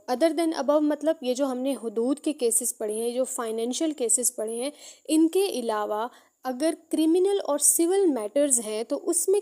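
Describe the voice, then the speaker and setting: A Pakistani woman with a clearly high, soft-edged voice teaches law. She reads out formal passages, then explains them step by step, going back over the key points. Her tone is on the mellow side. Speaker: law lecturer. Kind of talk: lecture, reading out and explaining statutes.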